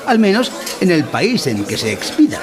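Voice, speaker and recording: Pompous, high-pitched male lecturer. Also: huge pitch swings, moderate pace, noisy background. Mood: solemn, didactic. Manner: formal, didactic, solemn wording. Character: pompous male instructor of a mock-educational course. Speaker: narrator in an audio drama. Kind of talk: mock-educational radio play narration.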